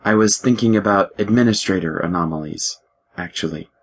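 A quiet, teasing man voiced by the narrator, low and husky. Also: a little fast. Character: wary, wry young man talking to a ship. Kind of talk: audiobook character voice.

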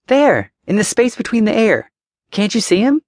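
A man's voice: high voice, big pitch swings, moderate pace, darkish tone, dry recording, studio quality, voice pushed up, exaggerated voice. A man voicing an eerily cheerful little boy with an imaginary friend, earnest and polite. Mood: chirpy.

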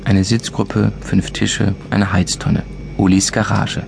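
Low, creaky deadpan village narrator. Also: male voice, slow pace. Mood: wry, deadpan. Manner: deadpan, short list-like phrases. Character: village narrator, dry and wry, male. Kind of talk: scripted radio play narration. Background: loud music.

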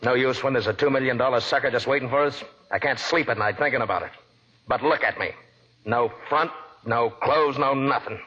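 Husky, bitter old-radio con man. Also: mid-range voice, brisk, bright, forceful tone. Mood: bitter, frustrated. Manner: clipped, slangy, contemptuous. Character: bitter, scheming, proud down-at-heel male con man. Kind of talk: 1940s radio acting. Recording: dull old radio broadcast, faint music.

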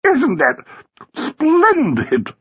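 A man narrating a story and voicing a sprightly, flamboyant society wife, shrill, affected and gushing. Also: huge pitch swings, takes it slowly, a bit bright, slightly rough, dull audio, exaggerated voice. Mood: excited, gushing.

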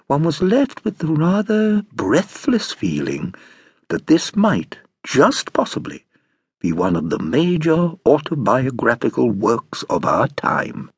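An actor playing an urbane, amused nephew telling of his uncle, in theatrical British audiobook narration with deliberate, relishing phrasing. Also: medium pitch, breathy edge, no echo, clean studio sound, heavily acted.

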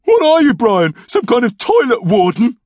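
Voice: sits high, big pitch swings, a little slow, no echo, pitched above the performer's own voice, exaggerated voice. A narrator voicing a grumpy, put-upon twelve-year-old boy who snaps irritably and sarcastically in a children's storybook. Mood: snappy, sarcastic.

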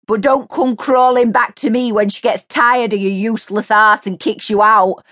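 A woman's voice: on the high side, steady tone, normal speed, dry recording, studio quality, pitched above the performer's own voice, close to an everyday voice. A woman voicing an enraged woman who screams and swears at her departing partner. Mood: screaming. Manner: shouting, screeching, foul-mouthed. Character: furious woman, shrieking at her cheating partner.

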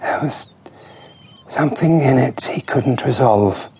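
An actor playing a somber, reflective man in a radio drama, speaking in a measured, brooding way. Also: medium pitch, darkish tone, breathy edge. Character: somber reflective male friend narrating a murder scene. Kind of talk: radio drama acting, intimate narration.

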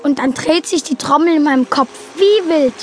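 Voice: sits high, normal speed, soft background music, lightly acted. An actor playing an earnest, quirky young boy who narrates his story matter-of-factly in a children's audio drama. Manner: matter-of-fact, childlike, explanatory. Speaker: narrator and main character.

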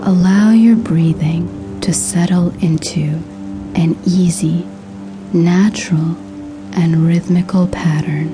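A woman narrating a scripted guided sleep hypnosis in a soothing, hushed, breathy voice with measured pauses. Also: lowish voice, fairly even intonation, music in the background.

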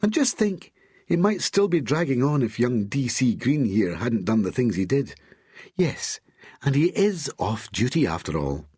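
A man's voice: mid-range voice, big pitch swings, a little slow, dark tone, breathy edge, dry recording, clean studio sound, voice pushed up. A man voicing a teasing, seductive woman doctor with a posh Glaswegian accent and a crooning, playful manner. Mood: playful scolding.

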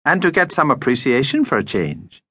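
A man's voice: medium pitch, sing-song, moderate pace, a bit bright, husky, pitched above the performer's own voice. A man voicing a small, grumpy male vampire in a storybook, theatrical and self-pitying, with pompous complaining speech. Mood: courtly, flattered.

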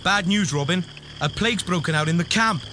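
A man's voice: high voice, brisk, noisy background, natural voice. A man acting an urgent crusader messenger in a children's audio drama, dramatic in delivery. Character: urgent crusader army messenger bearing grim news. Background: music.

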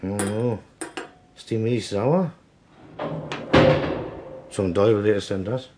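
A man's voice: on the low side, takes it slowly, heavy background noise. An actor playing a humble, fretful night watchman with a homely, polite way of talking, in a radio play. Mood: suspicious. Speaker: actor in a crime radio drama. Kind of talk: German crime radio play acting.

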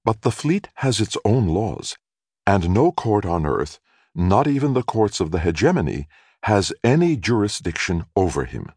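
Man voicing a melodramatic, plaintive mother, raised pitch. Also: big pitch swings, moderate pace, a little mellow, breathy edge, no echo, studio quality, full character voice. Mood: insistent. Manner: theatrical, plaintive. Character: melodramatic, self-pitying single mother telling tales. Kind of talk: audiobook character dialogue.

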